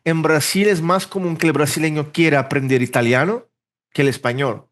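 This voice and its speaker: A man from Brazil, a native Portuguese speaker, being interviewed in a relaxed way, thinking aloud with restarts and fillers. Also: a little high, brisk. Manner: rambling, self-correcting, thinks aloud with fillers. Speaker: interpreter and language teacher, podcast guest. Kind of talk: casual interview conversation.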